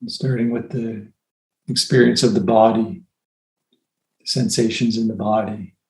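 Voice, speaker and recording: A man leading a guided meditation as a teacher, with gentle, unhurried phrasing and long pauses. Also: on the low side, steady tone. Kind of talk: guided meditation, softly spoken instruction. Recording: roomy, echoey sound.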